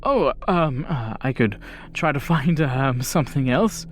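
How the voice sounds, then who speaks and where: A man voicing a nervous, evasive man who stammers and speaks haltingly in a tabletop game. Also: mid-range voice, animated, a little hiss or hum, natural voice. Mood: flustered, evasive. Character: nervous, evasive man caught out over his finances.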